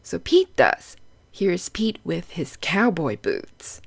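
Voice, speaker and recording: Slow, lively woman reading to children. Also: lowish voice, gravelly, breathy edge. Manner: simple, instructive phrasing aimed at young children. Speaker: children's read-aloud host and narrator. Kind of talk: children's storybook read-aloud with questions to the listener.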